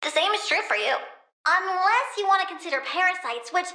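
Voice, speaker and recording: Bratty young plant villain girl for a game, american, super high and swoopy, blunt and flippant. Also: brisk, echoey room, full character voice. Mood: musing. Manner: girlish, expressive, blunt, flippant. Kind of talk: animated video game voice acting.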